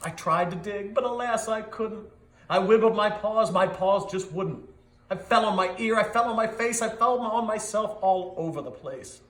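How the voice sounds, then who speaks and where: A man voicing a big, eager, clumsy dog telling his own story in an expressive, rhythmic storybook read-aloud with a roomy echo. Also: high voice, moderate pace. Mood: frustrated. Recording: echoey, roomy sound.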